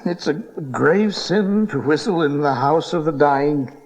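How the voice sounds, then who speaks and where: An actor playing a grave, deferential court physician in an audio Bible drama, with a hesitant manner. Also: male voice, fairly high pitch, lively intonation, measured pace, long reverb tail. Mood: solemn. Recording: dull cassette tape transfer.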